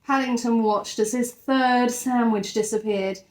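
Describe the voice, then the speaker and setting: A woman's voice: a little high, steady tone, a little slow, poor audio. A woman teacher reading a storybook expressively to young children, with chatty asides to the listeners. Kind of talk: animated storybook read-aloud.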